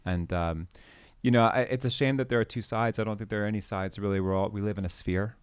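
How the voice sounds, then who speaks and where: Man, very low voice, from Canada, middle-aged, hesitant with many restarts, dry close sound. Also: fairly even intonation, quick pace, smooth, no room sound. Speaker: podcast guest. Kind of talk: conversational interview.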